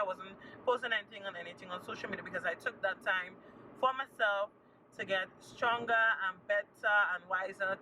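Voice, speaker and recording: A young woman talks chattily to her viewers, leaning on repeated words and cheerful encouragement. Her voice sits some way from the mic, over a loud, steady background noise. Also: medium pitch, far from the mic. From Trinidad and Tobago. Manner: chatty and upbeat, repeats words for emphasis, gives encouraging advice. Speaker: vlogger. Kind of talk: casual chat to camera.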